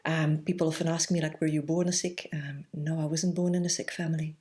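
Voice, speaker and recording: A woman in her forties with a Belgian accent talks as a podcast guest in a low voice. She speaks thoughtfully and pauses often to gather her words. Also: little pitch movement, mellow. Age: 40s. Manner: reflective, thoughtful, pausing often with "um". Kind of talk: casual interview conversation.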